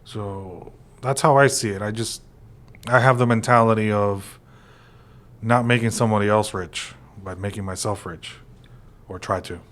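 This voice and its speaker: Casting: forties male co-host, lower pitch, loose rambling delivery with fillers. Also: normal speed, pauses often. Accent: American. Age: 40s. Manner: relaxed, rambling with many fillers. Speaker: real estate podcast co-host. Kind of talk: casual conversational chat.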